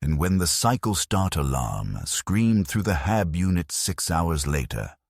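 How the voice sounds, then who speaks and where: A man with an extremely low, husky voice narrates a scripted first-person story. His delivery is somber and measured. The sound is dry, with no room around it. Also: big pitch swings, no room sound.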